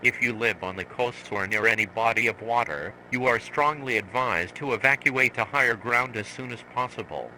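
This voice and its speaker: A man's voice: mid-range voice, moderate pace, hardly any pauses, sharp tone, a little hiss or hum, low-quality recording. A man's synthetic text-to-speech voice delivering a scripted emergency broadcast in a formal, authoritative manner. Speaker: emergency alert announcer.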